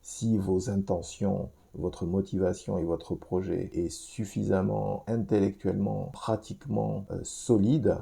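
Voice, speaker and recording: A man's voice: sits low, gravelly, mellow, husky. A man in his fifties hosting a film review podcast, talking to listeners in a rambling way with many restarts and fillers. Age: 50s. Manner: addresses listeners directly. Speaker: podcast host.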